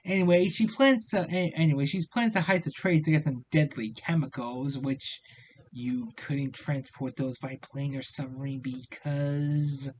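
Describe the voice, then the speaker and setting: A man with a slightly high voice delivers a casual review. His speech is halting, with words and syllables often stammered and repeated. A light layer of noise sits behind him. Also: rough recording. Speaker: video reviewer. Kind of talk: casual review commentary, loosely scripted. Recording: noticeable steady background noise.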